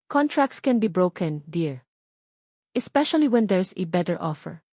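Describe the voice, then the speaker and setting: A woman's voice: fairly low pitch, very animated, a little slow, a little mellow, no echo, clean studio sound, exaggerated voice. A woman voicing a haughty, sophisticated rich fiancée with a condescending, cutting manner in an audio drama. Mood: menacing, dismissive. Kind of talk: audio drama villain dialogue.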